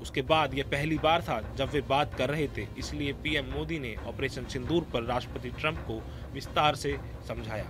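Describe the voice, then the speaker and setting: A man presenting a scripted news report in a formal, measured manner. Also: mid-range voice, fairly even intonation.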